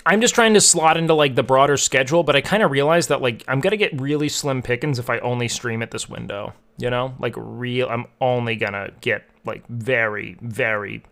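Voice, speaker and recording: A man's voice: medium pitch, brisk, gravelly, few pauses, fairly bright tone. A young man streaming and chatting casually with his viewers, rambling with lots of filler words. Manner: rambling, filler-heavy, frequent "like". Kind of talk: casual chat with chat.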